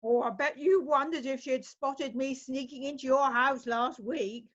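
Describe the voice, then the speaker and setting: A woman's voice: sits high, steady tone, moderate pace, lo-fi sound. A woman playing a cheeky, gossipy older neighbour in an amateur comedy sketch. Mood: gossipy, amused.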